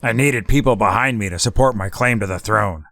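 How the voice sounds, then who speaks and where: A man's voice: fairly low pitch, brisk, creaky, fairly bright tone, no echo, clean studio sound. A man playing a cool, scheming new gangster boss in a hardboiled audio drama, unruffled and slangy. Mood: calculating.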